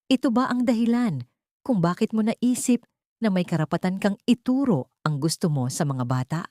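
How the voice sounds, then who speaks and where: A woman's voice: medium pitch, lively intonation, normal speed, mellow, no room sound, studio quality, close to an everyday voice. A woman voicing a cold, suspicious wealthy male employer in an audiobook drama, accusing and hurt. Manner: accusing, interrogating.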